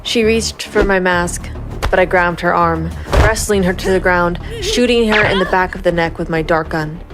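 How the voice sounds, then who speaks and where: A woman's voice: on the low side, moderate pace, music in the background, natural voice. A woman playing a cold, composed vigilante investigator, narrating a gritty noir crime audio drama in a controlled, stern way. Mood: cold, tense. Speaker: narrator and lead character.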